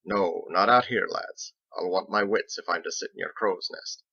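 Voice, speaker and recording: A man voicing a measured, thoughtful seafaring captain in an audiobook, with formal, old-fashioned phrasing. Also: sits low, pushed and bright, lo-fi sound. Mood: wry, easy. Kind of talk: audiobook character dialogue.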